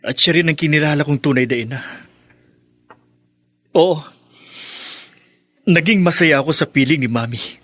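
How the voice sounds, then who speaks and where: A man's voice: on the high side, moderate pace, pauses often, fairly bright tone. A young man playing a sorrowful, confused son, pleading with heavy feeling in a Filipino radio drama.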